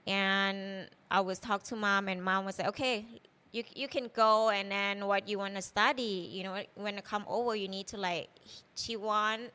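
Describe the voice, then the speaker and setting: Smooth-voiced Thai woman chatting. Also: medium pitch, steady tone, moderate pace, sharp tone. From Thailand. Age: 30s. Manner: chatty and loose, full of "you know" and "like". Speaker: chef and guest. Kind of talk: casual interview.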